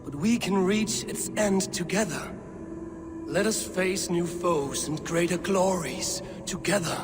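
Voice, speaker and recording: Slow-spoken, earnest Viking warrior. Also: male voice, sits high. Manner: solemn, deliberate. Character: loyal young adult Viking warrior, earnest, steadfast sibling. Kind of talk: dramatic game cutscene acting. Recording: clear music behind the voice.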